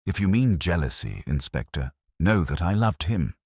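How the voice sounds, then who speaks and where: Male narrator as composed defensive lady, proud, firm, formal, very deep animated voice, mystery audiobook. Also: normal speed, husky, dry recording, studio quality, exaggerated voice. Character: composed, proud, defensive unmarried woman in love.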